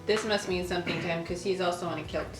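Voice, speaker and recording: A woman with a fairly low voice hosts a casual read-along. She chats and reads aloud in a roomy, echoing space. Faint music plays underneath. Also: fairly even intonation, quick pace. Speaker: host of a lifestyle video channel. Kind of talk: casual chat with reading aloud from an old newspaper.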